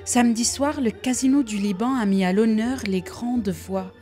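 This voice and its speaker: Woman narrating a news report over music. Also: on the high side. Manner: even, measured narration.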